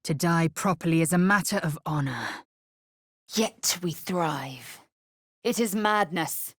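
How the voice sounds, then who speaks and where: A proud young female alien warrior from a fantasy video game. The voice sits low, with a raspy edge and a measured, unhurried delivery. She sounds haughty and formal, biting off her phrases with fierce scorn. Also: no room sound, studio quality. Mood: proud, indignant. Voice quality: husky, raspy. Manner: clipped, imperious, haughty, formal. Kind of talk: video game character voice acting.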